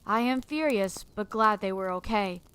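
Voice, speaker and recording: A woman's voice: mid-range voice, moderate pace, a bit bright, slight background noise, lightly acted. A woman performing a sombre, regretful teacher who narrates a dramatic first-person story. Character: sombre, regretful teacher haunted by lost students.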